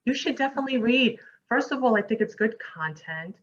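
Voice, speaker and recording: A woman with a New York accent hosting a casual interview, chatty and encouraging and quick to laugh. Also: fairly high pitch, expressive, background noise. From United States. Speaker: host. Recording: muffled lo-fi audio.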